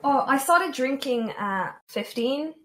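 An eighteen-year-old woman chatting casually as a guest on a stream, relaxed and self-deprecating. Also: high voice, takes it slowly. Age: young adult.

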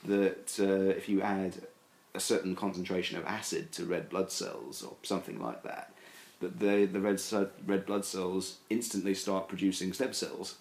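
A man's voice: deep voice, little pitch movement, normal speed, few pauses, a little mellow, echoey room. A man talking casually as a podcast guest, hedging and rambling with many fillers and restarts. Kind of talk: casual discussion.

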